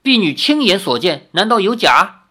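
A man's voice: high-pitched, very animated, normal speed, sharp tone, husky, pitched above the performer's own voice, full character voice. A man doing a stern old master. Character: stern older man, proud martial master and father.

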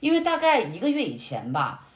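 A woman chatting casually as a podcast guest, telling personal stories in an animated, reflective way and explaining things step by step. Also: on the high side, fairly quick. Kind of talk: casual conversational personal storytelling. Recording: roomy, echoing indoor sound.

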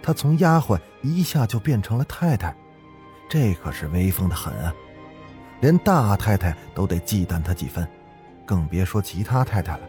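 A man's voice: fairly low pitch, normal speed, soft background music. A man hosting and narrating a ghost story in a dramatic, suspenseful manner. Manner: suspenseful, expressive. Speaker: host and storyteller.

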